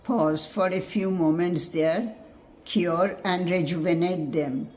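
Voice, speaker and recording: Slow, mellow woman guiding meditation. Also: fairly low pitch. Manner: measured, instructive, soothing. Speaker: meditation guide. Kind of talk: guided meditation, scripted narration.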